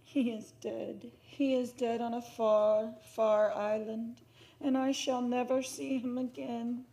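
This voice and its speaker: A woman's voice: fairly high pitch, level tone, slow, dark tone. A woman playing a grieving, lovelorn young radio drama heroine with a plaintive delivery, repeating her words. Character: grieving, lovelorn woman weeping for a lost man. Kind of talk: live vintage-style radio drama acting. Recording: noisy live theater radio recording.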